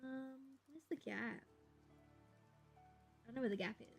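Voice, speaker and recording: A young woman, chatting casually and rambling to viewers on a live stream, with a slight gravel in her voice when she talks quietly. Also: fairly high pitch, measured pace, soft background music. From Australia. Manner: chatty, rambling, laughs easily, thinks aloud.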